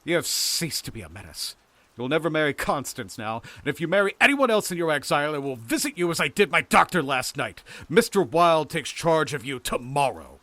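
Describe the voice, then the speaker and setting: A man's voice: a little high, big pitch swings, brisk, sharp tone, no echo, voice pushed up. Man acting out a ranting, deranged would-be king. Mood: menacing. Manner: ranting, commanding, imperious. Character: deranged would-be king, male, grandiose and menacing. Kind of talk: dramatic audiobook character acting.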